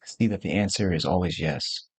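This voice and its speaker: A man leading a guided meditation, giving gentle instructions in short, spaced phrases as a teacher. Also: low voice, moderate pace, slightly rough, no echo.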